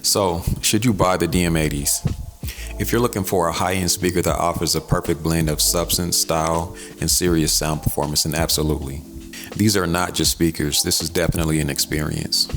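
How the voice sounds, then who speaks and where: American guy doing a laid-out casual gear review, super deep voice w lots of fry, music behind. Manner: conversational, colloquial. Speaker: audio gear reviewer and host. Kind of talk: casual product review narration.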